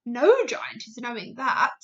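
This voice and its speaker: A woman voicing a big, friendly, very old male giant who jumbles his words into made-up ones, in a booming storybook voice. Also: huge pitch swings, unhurried, fairly bright tone, full character voice. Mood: earnest. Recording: dull lo-fi recording.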